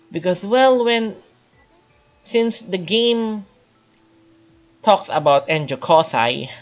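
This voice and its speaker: A woman giving an informal spoken video game review as a channel host, haltingly, thinking aloud and repeating phrases. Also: deep voice. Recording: dull lo-fi mic, faint music behind.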